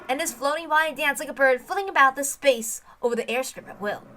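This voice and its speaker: A woman's voice: on the high side, pushed and bright. A woman reading a story aloud as dramatic scripted narration, with emphatic, theatrical delivery. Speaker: reader of a visual novel.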